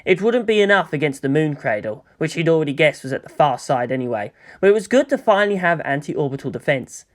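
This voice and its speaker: A woman reading fan fiction aloud as narrator, steady and expressive. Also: low-pitched, fast talker, few pauses.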